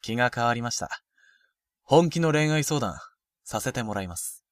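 Guy acting a blunt proud teen athlete, mid pitch, lively intonation, dry close sound, romcom audio drama. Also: moderate pace, dry recording, close to an everyday voice. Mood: guarded, blunt. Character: frank, proud, sporty first-year high school boy. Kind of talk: Japanese youth romantic comedy voice drama.

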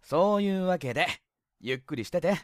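A woman playing an easygoing, scheming older high school boy, breezy and glib, in a youth comedy audio drama. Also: lively intonation, fairly quick, dry recording, clean studio sound, lightly acted. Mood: glib, upbeat. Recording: light music behind.

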